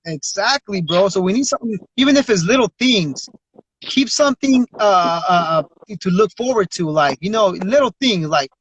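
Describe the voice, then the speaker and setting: A man's voice: high voice, expressive, moderate pace, a bit bright, husky. A man hosting a casual interview podcast, talking in an encouraging, slangy conversational way. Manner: slangy, encouraging, often says "bro" and "you know what I mean". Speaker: podcast host. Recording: dry, dull lo-fi sound.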